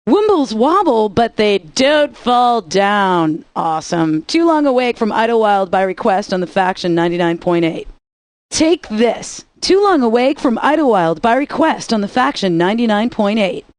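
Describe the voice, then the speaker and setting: A woman radio DJ with a bright, fairly high voice delivers sarcastic, wisecracking song intros. She is recorded close and dry on a studio mic. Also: big pitch swings, normal speed, dry recording. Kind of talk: scripted radio DJ patter.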